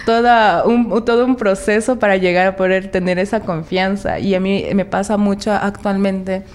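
A woman's voice: medium pitch, steady tone, moderate pace. A woman from Ecuador's Valle del Chota with an Ecuadorian Spanish accent, talking casually and animatedly as an interview guest. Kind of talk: casual conversational storytelling.

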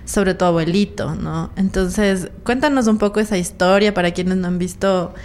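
A woman's voice: on the low side, normal speed, darkish tone, a little hiss or hum. A woman interviewing a guest in a casual, conversational way. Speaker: interviewer, host.

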